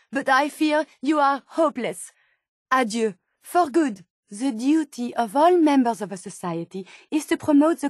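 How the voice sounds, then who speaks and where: A woman's voice: fairly high pitch, darkish tone, no echo, clean studio sound, natural voice. A woman with a French accent voicing an earnest, polite female robot scientist in a video game, with formal, precise wording. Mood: disappointed, reproachful. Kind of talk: video game character dialogue.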